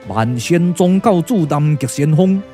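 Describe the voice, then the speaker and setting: A man with a Taiwanese accent voicing a solemn, calculating sage strategist in a puppet radio drama, speaking slowly, deliberately and persuasively. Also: fairly high pitch, lively intonation, mellow, faint music behind. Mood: grave warning. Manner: slow, deliberate, weighty delivery.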